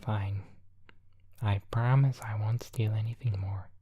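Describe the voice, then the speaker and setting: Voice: mid-range voice, steady tone, a little slow, warm tone, husky. A whispering storyteller voicing a sulky thieving parrot that grumbles and whines. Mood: grudging.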